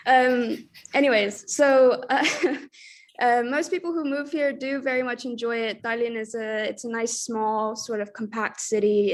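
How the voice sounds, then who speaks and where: A young woman with an American accent speaks in a casual, chatty way, full of small fillers. Her voice sits a little high, and the sound comes through a video call. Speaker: project coordinator. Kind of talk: online info session talk.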